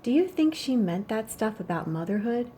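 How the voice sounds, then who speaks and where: A woman's voice: a little high, sing-song, normal speed, mellow, a little hiss or hum. A woman reading as a thoughtful young girl in a children's storybook, an earnest older sister who reasons things out. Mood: wistful.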